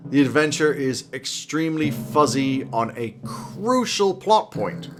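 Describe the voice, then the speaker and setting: A man in his thirties with a fairly high voice talks casually to camera as a game advice host. He is animated and drily funny, explaining things conversationally, with light guitar music playing underneath. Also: unhurried. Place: small home office, indoors.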